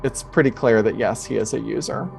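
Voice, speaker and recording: Man, game master, mid-pitched, casual halting narration, improvised tabletop storytelling, music underneath. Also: gravelly, a little mellow. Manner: casual, halting, conversational delivery.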